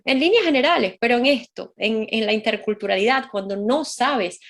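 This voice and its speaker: A woman's voice: a little high, moderate pace, fairly bright tone. A woman hosting an interview in a casual, reflective style, searching for words and repeating them for emphasis. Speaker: interview host.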